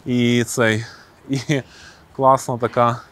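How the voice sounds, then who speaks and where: A man's voice: medium pitch, level tone, a bit bright. A man hosts a casual walking interview, enthusiastic and playful. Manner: enthusiastic, playful, quick remarks. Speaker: vlog host. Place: rooftop outdoors, then indoor hall.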